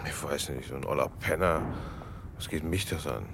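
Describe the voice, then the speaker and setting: Surly man, radio play, dismissive mumble, extremely low, street noise. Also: normal speed, a bit bright. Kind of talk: German radio play acting. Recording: noisy street ambience.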